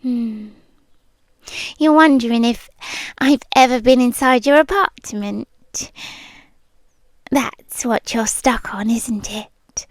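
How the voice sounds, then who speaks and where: A British woman playing a possessive, lovesick stalker girlfriend in an intimate ASMR roleplay, breathy and often whispering, with lingering pauses. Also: on the high side, no room sound, clean studio sound. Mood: teasing, then hushed, intense. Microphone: close microphone, dry.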